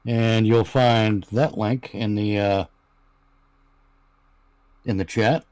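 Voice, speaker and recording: A man chatting informally and haltingly as a panel member on a live stream. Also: on the low side, fairly even intonation, a little mellow, no room sound. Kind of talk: casual live stream chat.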